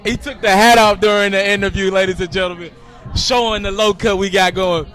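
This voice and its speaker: Male host, eager, keeps saying gotcha, fairly high voice, faint music behind. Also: steady tone, fairly quick, a bit bright. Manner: eager, affirms constantly with "gotcha". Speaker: interview host. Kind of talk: casual interview, show promo.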